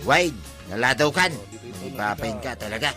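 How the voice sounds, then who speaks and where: A man's voice: medium pitch, animated, normal speed, music in the background, lightly acted. A man playing an exasperated village man who complains emphatically, in an Ilocano radio comedy drama.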